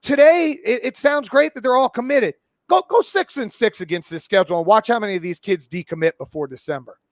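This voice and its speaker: A man in his forties with a notably high-pitched voice, recorded dry without room sound. He talks sports like a pundit in casual conversation. He is blunt and opinionated, and swears freely. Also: fairly quick, no echo. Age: 40s. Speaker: college football pundit and podcast guest.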